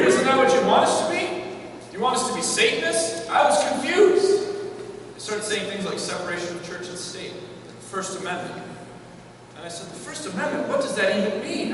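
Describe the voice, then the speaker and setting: A man in his forties giving an animated keynote speech in a large armory hall with a loud voice, addressing the crowd directly through a handheld mic held low. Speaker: keynote speaker. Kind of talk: public speech. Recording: echoey hall, distant mic, noisy.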